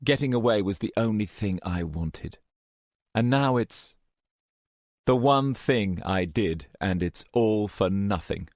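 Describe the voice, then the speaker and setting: A man's voice: fairly low pitch, animated, takes it slowly, darkish tone, no echo, clean studio sound, pitched above the performer's own voice. A man voicing a frightened, weary young woman who speaks in a hesitant, strained way. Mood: anguished.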